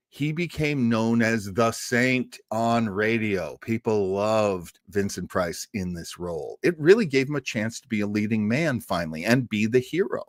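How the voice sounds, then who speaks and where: A man's voice: medium pitch, dry recording, studio quality. A man hosting a listening party, talking casually like an enthusiastic, emphatic storyteller introducing an old show. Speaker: host of a radio listening party.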